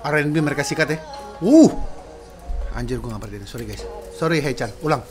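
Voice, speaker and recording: A man in his thirties giving casual, excitable reaction commentary as a sound engineer, full of slang exclamations, at home in a small room. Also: medium pitch, quick pace. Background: faint music playing.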